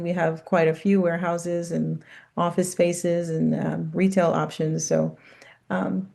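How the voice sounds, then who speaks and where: Low, gravelly woman introducing herself. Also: darkish tone. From Guyana, raised in Brooklyn. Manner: friendly, many "um"s. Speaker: guest, leasing specialist. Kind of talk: self-introduction on a video call. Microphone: video call.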